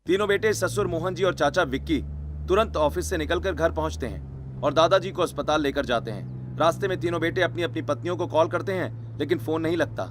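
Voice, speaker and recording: A man's voice: on the high side, fairly quick, a little hiss or hum. A man reading scripted, dramatic story narration.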